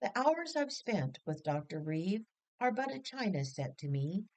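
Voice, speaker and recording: A woman's voice: medium pitch, very animated, measured pace, a little mellow, voice pushed up. A woman voicing the teasing, incredulous grown children of a fun-loving family in a storybook, exclamatory and mock-dramatic. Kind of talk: storybook character voices.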